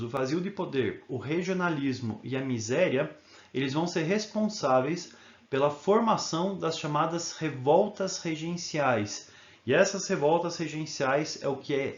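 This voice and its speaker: A man's voice: on the high side, roomy sound, dull audio. A male history teacher giving a recorded video lesson, explaining in an informal way and often checking in with tag questions.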